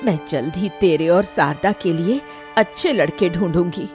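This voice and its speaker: Woman voicing a low, worried, protective mother. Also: normal speed, over music, lightly acted. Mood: worried, resolute. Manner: firm and concerned. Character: ailing, worried, protective middle-aged mother. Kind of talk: dramatized Hindi cartoon story.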